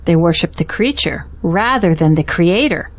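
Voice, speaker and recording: A woman's voice: lowish voice, lively intonation, normal speed. A woman acting as a devout, supportive confidante of a pastor in an audio drama, speaking earnestly and reassuringly. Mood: troubled, earnest.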